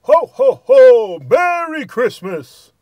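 A man playing an old, earnest Santa Claus, hearty and deliberate as he records greetings in a comedy sketch. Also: very high voice, very animated, darkish tone, heavily acted. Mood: jolly. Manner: jolly, hearty, deliberate delivery. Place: small dead recording studio booth. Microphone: studio condenser microphone, close.

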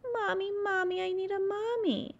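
A woman's voice: extremely high pitch, fairly even intonation, moderate pace, gravelly, dull audio, voice pushed up. A woman voicing a small, lonely young male bird in a storybook, eager and plaintive, exclaiming and pleading. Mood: tearful, pleading.

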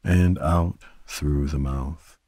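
A man with a very low, mellow voice guides a bedtime meditation. He speaks gently and soothingly, letting each phrase settle before the next. The sound is close and very dry. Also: fairly even intonation, no echo. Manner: gentle, soothing, unhurried delivery with long pauses. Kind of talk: guided sleep meditation narration.

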